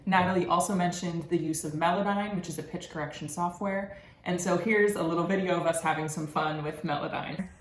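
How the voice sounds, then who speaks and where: A man's voice: sits high, steady tone, a little fast. A young man, a student presenter, giving a scripted narration of a presentation. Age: young adult. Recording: echoey room, faint background noise.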